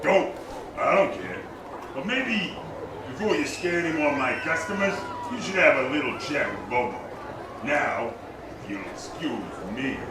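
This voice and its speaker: Irritable streetwise carny, surly slang, radio serial, echoey theater, far from mic. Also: male voice, fairly high pitch, sing-song, normal speed, noisy background. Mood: dismissive. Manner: surly, slangy tough-guy talk. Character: irritable, nasty male carnival barker, gruff streetwise crook. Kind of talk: live radio drama in old detective serial style. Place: theater stage, live performance.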